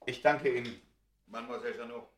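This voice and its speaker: A man's voice: medium pitch, fast, a bit bright, slight background noise, lightly acted. A man acting a distracted, polite scholar in a radio play, speaking hesitantly. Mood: uneasy, distracted. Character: distracted, unwell, polite male scholar visiting a museum. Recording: echoey room, old radio play.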